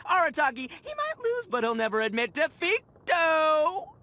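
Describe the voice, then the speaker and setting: Young male oni gang punk, video game character, American accent, high-pitched, wildly swooping delivery, boastful, hammy, slangy. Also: very animated, normal speed, pushed and bright, exaggerated voice. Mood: boastful, showy. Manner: cocky, hammy, slangy bravado.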